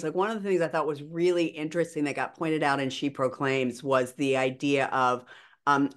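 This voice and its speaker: An older woman with a very low voice, co-hosting a podcast over a video call, speaking earnestly and with conviction. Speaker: podcast co-host. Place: small bedroom at home.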